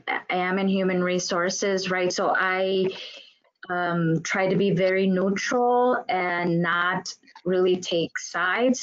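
A woman who works in human resources answers panel questions over a video call. Her voice is on the low side and the sound is a bit dull. She speaks thoughtfully, often restarting her sentences.

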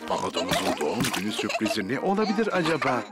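A man narrating a preschool cartoon as a friendly storyteller, in simple, clear sentences. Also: medium pitch, very animated, fast talker, lightly acted. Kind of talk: children's cartoon scripted narration. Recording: prominent music behind the voice.

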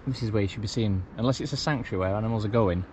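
A man's voice: on the low side, very fast, darkish tone. A man chatting casually to camera outdoors, rambling with lots of "you know". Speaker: vlogger. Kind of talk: casual outdoor vlog chat. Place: outdoors, kayak at sea then beach. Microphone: action camera. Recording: dull lo-fi camera audio with clear wind and water noise.

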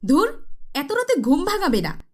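A woman's voice: high voice, wildly swooping pitch, unhurried, pitched above the performer's own voice, full character voice. A woman voicing a haughty, lazy wealthy wife in a cartoon, dismissive and snappish. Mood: irritated.

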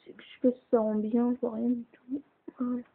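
A woman's voice: fairly high pitch, level tone, a little fast, creaky. A woman chatting casually with lots of fillers as she shows off a toy model at home, filmed on a handheld camera held close. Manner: chatty, hesitant, many fillers. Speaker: toy builder showing a model. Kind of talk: casual show-and-tell narration. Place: indoors at home. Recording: tinny lo-fi camera audio, hissy.

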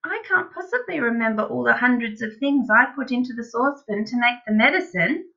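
A woman's voice: a little high, expressive, fairly quick, vocal fry, pushed and bright, muffled recording, pitched above the performer's own voice, heavily acted. A woman voicing an earnest, doubtful little boy in a children's storybook, questioning and pleading to be heard. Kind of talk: dramatised children's storybook reading.

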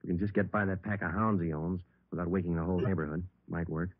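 An American actor playing a terse, matter-of-fact police detective who also narrates, in 1950s radio drama style. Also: male voice, low voice, fast talker, breathy edge, no room sound. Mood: dry, businesslike. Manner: deadpan, clipped, factual. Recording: narrow-band vintage radio, music behind.

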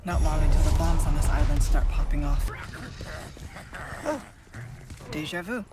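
A woman's voice: low-pitched, steady tone, brisk, loud music behind the voice, lightly acted. An actress playing a cool, teasing, self-assured female spy in a video game cutscene. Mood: dry, focused. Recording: loud helicopter and action noise behind.

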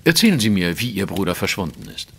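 Detective for audio drama: low, very animated, courteous, commanding, exact and formal. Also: male voice, fast talker, gravelly, a little hiss or hum, heavily acted. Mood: probing. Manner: formal, precise, probing. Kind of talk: German audio drama acting.

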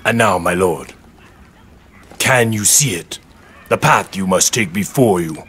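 Stern, low-voiced samurai retainer. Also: male voice, lively intonation, fairly bright tone, slight background noise. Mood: solemn, urging. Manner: formal, earnest, measured. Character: stalwart, loyal armoured samurai retainer, devoted and stern. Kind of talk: dramatic video game cutscene acting.

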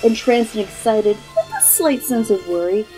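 Bright-toned young woman reading aloud, relaxed. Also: fairly high pitch, moderate pace, faint music behind, poor audio. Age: 20s. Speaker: storyteller reading a picture book aloud. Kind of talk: casual introduction and children's read-aloud. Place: small room indoors.

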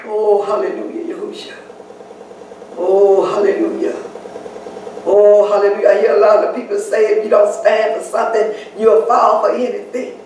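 A woman's voice: medium pitch, flat delivery, normal speed, background noise. Fervent praying woman in echoey hall. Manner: repeats hallelujah between phrases, swelling to shouts. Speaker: prayer leader. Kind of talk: fervent spoken prayer. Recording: very echoey room, distant mic, faint ambience.

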